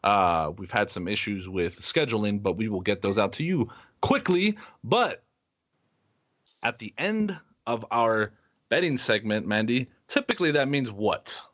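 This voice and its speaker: A man's voice: on the low side, expressive, a little slow, a bit bright, highs cut off. A man co-hosting a sports podcast, chatting casually about betting.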